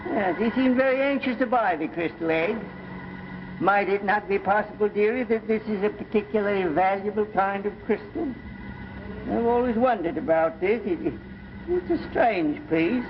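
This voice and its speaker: Fussy, high-voiced little shopkeeper. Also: male voice, expressive, normal speed. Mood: musing, hopeful. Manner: hesitant, deferential, stammering. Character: fussy, obsequious, shrewd little shopkeeper husband. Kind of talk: 1950s television anthology drama acting. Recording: noisy muffled 1950s broadcast recording.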